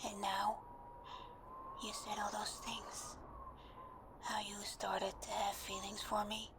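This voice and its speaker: A woman playing a timid, anxious best friend in an intimate ASMR roleplay, speaking in a hesitant, breathy half-whisper as if leaving a voice message. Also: mid-range voice, level tone, slow, heavy background noise. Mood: apologetic, tender, anxious.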